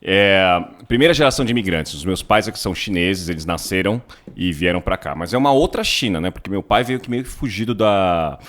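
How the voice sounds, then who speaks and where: A man's voice: low voice, expressive, brisk, few pauses, fairly bright tone. A man in his forties from São Paulo with a São Paulo accent, a company CEO chatting relaxedly as an interview guest, often adding tag questions. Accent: São Paulo Portuguese. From São Paulo, Brazil. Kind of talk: casual interview storytelling.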